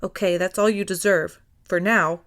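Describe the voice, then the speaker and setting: A woman voicing a tiny, grouchy loudmouth woman in an audiobook, bossy and exasperated but fond. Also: fairly low pitch, fairly even intonation, moderate pace, natural voice. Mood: huffy, teasing. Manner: huffy, bossy, complaining.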